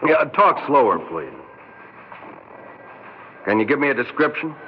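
A man's voice: medium pitch, big pitch swings, measured pace. An actor playing a harried, sardonic male police lieutenant in a 1960s radio drama, curt and impatient. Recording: muffled 1960s radio recording, faint noise.

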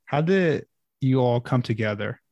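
A man's voice: medium pitch, lively intonation, unhurried, darkish tone, no echo, muffled recording. A man interviewing a guest, asking curious questions.

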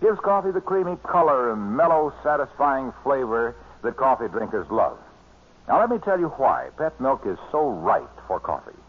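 An American man working as announcer, delivering scripted introductions and sponsor pitches in 1940s radio style. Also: big pitch swings, normal speed, husky. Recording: tinny vintage radio broadcast recording.

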